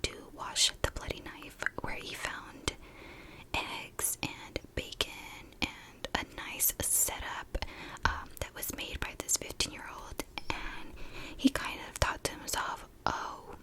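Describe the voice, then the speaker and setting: A woman speaks in a breathy, hushed whisper, as in an ASMR video. She tells her story casually and gently, taking her time and pausing between short phrases. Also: low-quality recording. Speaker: ASMR creator and true crime storyteller.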